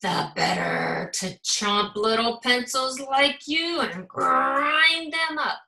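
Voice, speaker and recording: A woman's voice: sits high, very animated, takes it slowly, sharp tone, heavily acted. A woman voicing a sinister, growly wolf pencil sharpener that poses as a principal in a children's storybook. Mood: menacing. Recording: roomy echo.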